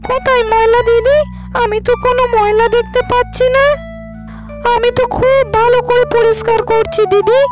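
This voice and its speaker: A poor, caring young village daughter in an animated folk tale, played by a woman. The voice is extremely high with little rise and fall. She speaks earnestly and politely over background music. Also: moderate pace. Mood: meek, apologetic. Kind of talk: animated moral-tale cartoon dub.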